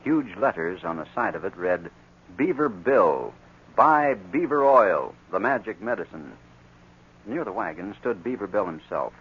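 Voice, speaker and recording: A man's voice: sits low, big pitch swings, unhurried, breathy edge. A man narrating a scripted adventure story in dramatic 1940s radio style. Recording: dull, noisy 1940s radio recording.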